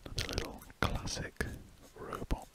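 A British man speaking in a breathy whisper, rambling casually and gently as he draws for ASMR, with hesitant musing. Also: moderate pace. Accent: British English. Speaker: ASMR artist. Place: small warm room at a desk, window shut. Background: pen scratching on paper.

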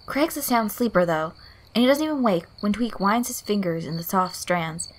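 A woman reading fan fiction aloud in an expressive storytelling style. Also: mid-range voice, moderate pace. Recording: soft music behind the voice. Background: guitar loop, night insect sounds.

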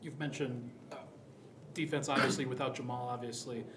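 A man's voice: mid-range voice, fairly even intonation, fairly quick. A man, a reporter, asking a rambling question at a press conference. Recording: distant room mic, echoey, hissy.

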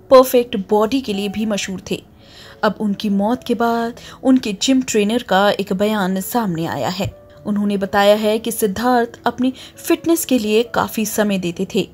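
A woman presenting a scripted news report as a voice-over, with a steady, formal newsreader delivery. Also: medium pitch.

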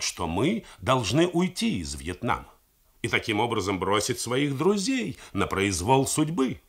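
A man's voice: fairly low pitch, fairly bright tone, husky, full character voice. A man reading the part of a stately older head of state, speaking in a grave, persuasive manner. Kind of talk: radio drama reading.

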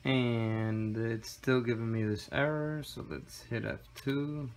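A man's voice: medium pitch, slow pace. Man, tech how-to host, easygoing with plenty of "uh"s, phone-recorded with faint background noise. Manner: relaxed, step-by-step with frequent fillers. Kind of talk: casual screen-recorded tutorial. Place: indoor room with desk and computer.

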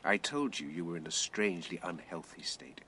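Sceptical Victorian literary man, dry and formal, animated low voice, radio drama. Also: brisk, a little hiss or hum, full character voice. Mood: frank, chiding. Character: frank, sceptical, poor Victorian man of letters. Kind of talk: BBC radio drama acting.

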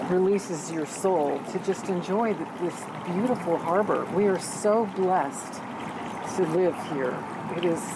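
A woman's voice: fairly low pitch, very noisy. A middle-aged woman who owns a charter business, speaking in an on-camera interview outdoors. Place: outdoors at a marina dock. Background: music.

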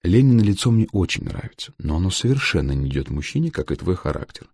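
A man voicing a sharp-tongued old grandmother in an audiobook, blunt and dry, handing out aphorisms. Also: low-pitched, big pitch swings, quick pace, husky, no echo, heavily acted. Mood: cutting.

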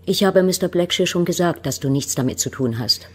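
A woman's voice: fairly low pitch, a little fast, husky. An actress in a radio play voicing a stern, indignant mother who is defensive and protective of her grown daughter. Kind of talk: German radio drama acting.

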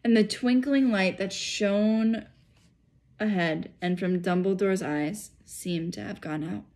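A woman's voice: mid-range voice, slow pace, a little mellow. An American woman in her thirties reading a storybook aloud as narrator. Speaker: school principal reading aloud. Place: small furnished room indoors. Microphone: phone or laptop at arm's length.

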